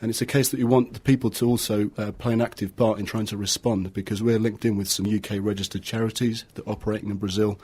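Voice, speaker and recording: A man's voice: fairly low pitch, steady tone, fast talker, hardly any pauses, darkish tone, husky. A man from Argentina explaining things earnestly as a project manager in a radio interview, often repeating his words. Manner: earnest, with frequent repetition. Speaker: project assistant manager, guest.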